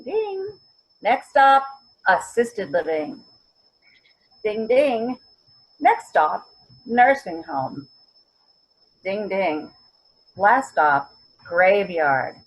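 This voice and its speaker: An actress plays an elderly mother in a stage drama, her voice husky and mid-pitched. She is stubborn and wry, hunts for the right word, then lands it with firm emphasis. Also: big pitch swings, very slow, long pauses, exaggerated voice, poor audio. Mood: sardonic. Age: older. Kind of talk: virtual readers theater acting.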